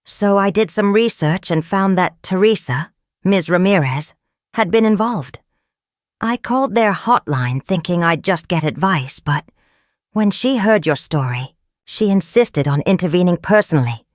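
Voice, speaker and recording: Woman voicing a gentle, low-voiced supportive father. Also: animated, a little slow, no room sound, studio quality. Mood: thoughtful.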